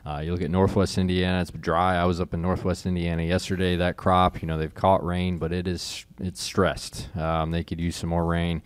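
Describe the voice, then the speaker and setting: A man with a very deep, smooth voice explains crop conditions as an agricultural specialist. He talks in a laid-back way, chuckling and thinking aloud as he goes. The sound is dry and close. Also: flat delivery, normal speed, few pauses, dry recording. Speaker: extension corn specialist. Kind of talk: casual expert chat.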